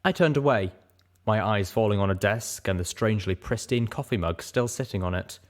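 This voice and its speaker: A man's voice: fairly low pitch, brisk. A man narrating a first-person fantasy story as a dramatic audiobook reader.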